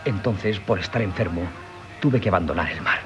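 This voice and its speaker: An actor playing an earnest, polite and sickly young would-be sculptor in a Spanish radio play, with a Castilian accent and hesitant delivery. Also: male voice, sits low, lively intonation, normal speed, muffled recording. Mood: earnest, chatty. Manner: polite, hesitant, deferential storyteller. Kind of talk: 1970s Spanish radio theatre acting. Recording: 1970s radio drama with music.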